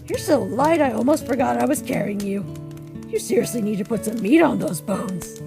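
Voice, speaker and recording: Woman playing a tough warrior monster woman, protective, rough creaky edge, brisk casual confidence, comic dub, music behind. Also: on the high side, lively intonation. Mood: brisk, friendly. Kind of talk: comic dub character acting.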